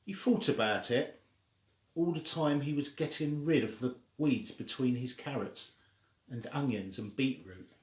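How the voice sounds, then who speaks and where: Slow-reading man in an echoey room. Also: mid-range voice. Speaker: story reader. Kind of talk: children's story read aloud.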